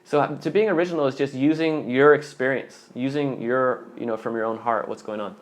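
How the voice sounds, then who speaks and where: A man's voice: medium pitch, fairly even intonation, brisk. A young man coaching rap on a live stream, answering viewer questions aloud in a casual way.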